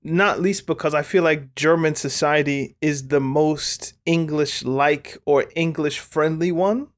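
A man with a somewhat high voice muses on a casual football podcast. He rambles thoughtfully and leans on fillers as he works out his points. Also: steady tone, unhurried. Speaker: podcast co-host.

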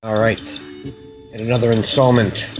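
A man's voice: lowish voice, level tone, moderate pace. Man reading quiz questions over music.

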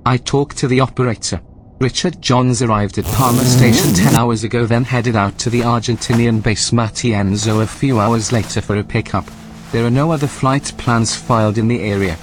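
Guy doing a calm deadpan station computer ai, formal n precise, audio drama, clear noise behind him. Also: medium pitch, normal speed, close to an everyday voice. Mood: businesslike. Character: calm, precise, dry-humored artificial intelligence running a remote research station.

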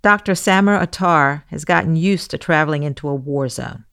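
A woman hosts a news podcast in a very low voice with plenty of vocal fry. She moves between scripted narration and interview questions. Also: normal speed, fairly bright tone. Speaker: guest podcast host.

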